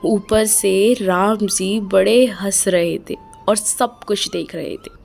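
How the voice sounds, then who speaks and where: A woman narrating a storybook tale in a plain, unhurried way. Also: mid-range voice, vocal fry, darkish tone, faint music behind.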